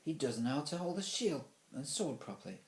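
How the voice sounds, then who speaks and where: A man plays a blunt little critic, a schoolboy in a storybook. He finds fault matter-of-factly, in a raised pitch with roomy echo. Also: big pitch swings, fairly quick, a little hiss or hum. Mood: critical.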